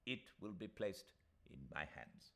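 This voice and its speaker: A man voicing a fussy, self-assured old English gentleman solicitor in an audiobook, speaking formally and emphatically with pompous repetitions. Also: fairly low pitch, big pitch swings, unhurried, full character voice. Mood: brisk, self-assured. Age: older. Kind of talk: audiobook character voice.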